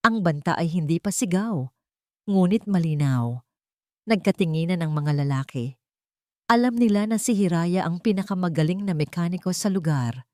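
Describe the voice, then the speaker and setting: Female Filipino story narrator, dramatic scripted read, slightly low register, close dry sound. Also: expressive, moderate pace, mellow, dry recording.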